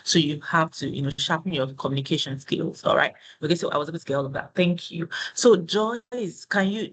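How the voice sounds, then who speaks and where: A man with a slightly higher-than-usual voice moderates a career webinar. He talks loosely and conversationally, tagging his points with "you know" and "right?" as he walks the audience through them. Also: a bit bright, rough recording. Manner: explains with frequent "you know" and "right?" tags.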